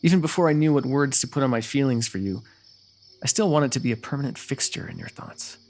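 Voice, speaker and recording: A man's voice: mid-range voice, big pitch swings, fast talker, creaky, a little mellow. A man playing a teasing, lovestruck royal ruler with courtly, formal phrasing in an ASMR fantasy roleplay. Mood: fond, yearning.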